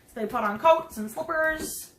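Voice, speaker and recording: A woman reading a picture book aloud to young children, expressive, with chatty asides and simple questions to her listeners. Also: sits high, moderate pace. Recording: roomy echo, some background noise.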